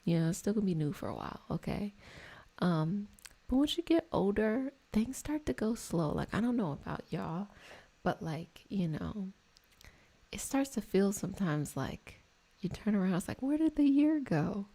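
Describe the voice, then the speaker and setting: A woman hosting an ASMR reiki healing session, talking in a soft, soothing, meandering and conversational way. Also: mid-range voice, sing-song, normal speed, slightly rough. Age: adult over 25. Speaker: reiki practitioner and session host. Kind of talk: ASMR guided healing session with casual chat.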